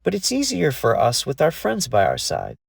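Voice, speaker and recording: A man's voice: moderate pace, creaky, breathy edge, no room sound, studio quality, exaggerated voice. A man voicing a cheerful, caring young female fairy in a rhyming storybook, in a bubbly, raised voice. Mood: comforting. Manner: bubbly, excited, high-pitched. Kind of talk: storybook read-along voice.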